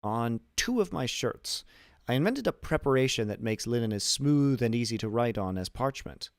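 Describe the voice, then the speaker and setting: A man voicing a learned, principled old imprisoned abbé in a deliberate, formal, lecturing manner for an audiobook. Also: medium pitch, animated, clear, resonant tone, dry recording, studio quality, close to an everyday voice. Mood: proud, scholarly. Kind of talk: audiobook character reading.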